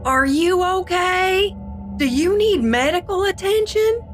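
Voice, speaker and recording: A woman's voice: very high-pitched, lively intonation, takes it slowly, heavily acted. Actress as tough fifty-something lady driver, blunt and protective, music going underneath. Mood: concerned. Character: protective, gun-toting female driver in her fifties. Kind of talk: audio drama acting.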